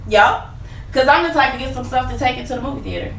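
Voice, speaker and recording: A woman's voice: sits high, quick pace, vocal fry, a bit bright, recorded from a distance. A woman co-hosting a casual podcast, teasing and laughing often. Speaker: podcast co-host. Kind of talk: casual banter. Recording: roomy, off-mic, faint noise.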